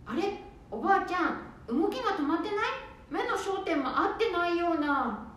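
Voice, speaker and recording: A woman playing a gentle, polite young daughter-in-law in a very echoey room. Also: extremely high pitch, lively intonation, normal speed, slight background noise.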